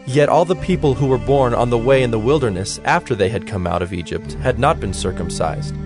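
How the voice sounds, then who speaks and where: A man narrating scripture from a script in a formal, measured storytelling manner. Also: mid-range voice.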